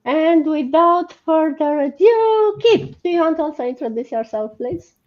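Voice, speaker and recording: A woman's voice: sits high, expressive, a little slow, a little mellow. A woman from Romania with a Romanian accent hosting an informal livestream in an encouraging way. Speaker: host. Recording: muffled, band-limited online stream audio.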